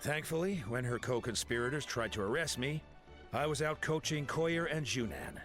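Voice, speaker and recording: A man voicing a composed, kindly warrior leader in a fantasy video game, speaking in a measured, reassuring way. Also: mid-range voice, fairly bright tone, soft background music, natural voice. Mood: explaining calmly.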